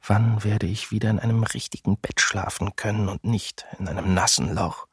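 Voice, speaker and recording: Tired homesick soldier reading his diary, low raspy voice, dry close sound, radio play. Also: fairly even intonation, moderate pace, no echo. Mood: wistful, weary. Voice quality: husky, gravelly. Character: weary German soldier in his early thirties, homesick diarist.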